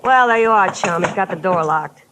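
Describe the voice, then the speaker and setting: A woman's voice: on the low side, lively intonation, normal speed, natural voice. A woman playing a brash, bitter, tough-talking wife in old-time radio drama style, slangy and challenging. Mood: bitter, flip. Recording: hissy vintage radio recording.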